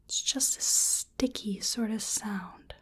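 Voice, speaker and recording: Slow, breathy whispering ASMR woman. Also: mid-range voice. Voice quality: breathy whisper and soft speech. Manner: gentle, hesitant, polite, unhurried. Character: polite, curious researcher examining a monster. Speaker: ASMR roleplay performer. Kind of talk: soft-spoken whispered ASMR medical exam roleplay.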